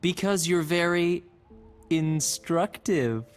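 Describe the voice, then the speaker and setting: A woman's voice: fairly low pitch, slow. A woman playing a playful, teasing squad agent who loves puns, in a video game. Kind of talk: scripted game banter. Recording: music behind the voice.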